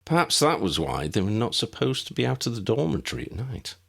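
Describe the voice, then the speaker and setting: A man's voice: mid-range voice, sing-song, brisk, creaky, a bit bright, husky. A man narrating an audiobook story in a scripted storytelling style.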